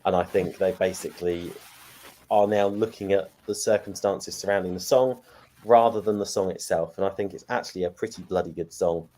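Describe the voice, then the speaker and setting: A man's voice: deep voice, steady tone, brisk, warm tone, rough recording. A man hosting a fan panel, chatty and repeating himself as he hands questions to the others. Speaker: panel host. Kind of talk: casual panel chat.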